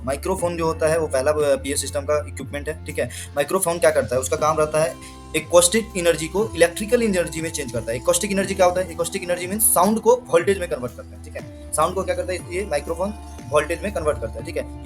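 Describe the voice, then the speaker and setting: A man with an Indian accent giving an informal technical lesson, explaining things repetitively with frequent check-in tags. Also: on the high side. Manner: mixes Hindi with English technical terms. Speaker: instructor presenting an explainer video.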